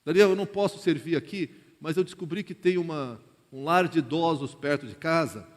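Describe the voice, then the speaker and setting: Higher-voiced Brazilian pastor in a reverberant hall. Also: male voice, a little fast. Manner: colloquial, direct, acts out short imagined dialogues. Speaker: pastor preaching to a congregation. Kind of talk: informal conversational sermon. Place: large reverberant church hall.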